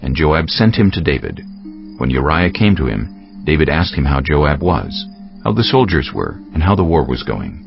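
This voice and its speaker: A man narrates scripture in an extremely deep voice. His pitch moves expressively through the scripted reading, with a fry-laden, gravelly edge. Faint music plays behind him. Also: big pitch swings, normal speed. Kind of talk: scripted dramatized Bible narration. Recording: slightly dull audio.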